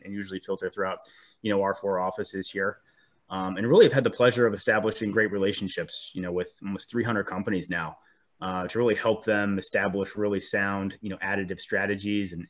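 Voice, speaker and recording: A man with a cold, sounding a bit sniffly, presenting a webinar over a video call with frequent ums and restarts. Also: fairly low pitch. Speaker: 3D printing specialist presenting a webinar.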